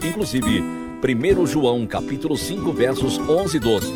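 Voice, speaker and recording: A man's voice: medium pitch, lively intonation, moderate pace. A man with a Brazilian accent reading scripted narration and announcements as a radio program announcer. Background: loud guitar music.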